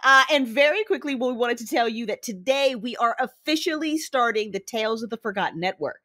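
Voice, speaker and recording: A woman's voice: a little high, lively intonation, fairly quick, pushed and bright. A woman hosting her fiction podcast, giving announcements and credits in a friendly, upbeat manner. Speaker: podcast creator and host.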